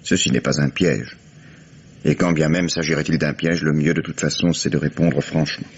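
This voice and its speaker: A shrewd, very deep-voiced police commissioner. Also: male voice, steady tone. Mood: calm probing. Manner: measured, probing, dry irony. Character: calm shrewd police commissioner, middle-aged man. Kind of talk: 1950s French radio drama acting. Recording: dull old radio recording with faint hiss.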